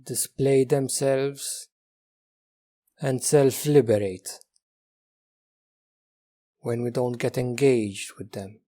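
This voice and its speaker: A man leading a guided meditation as a teacher, speaking gently and unhurriedly with long pauses between phrases. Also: mid-range voice, steady tone.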